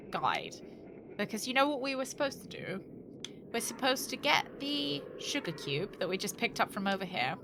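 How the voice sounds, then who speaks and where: A woman's voice: fairly high pitch, noisy background. A British woman chatting casually and thinking aloud to viewers as she streams a video game. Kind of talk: casual chat while playing a game.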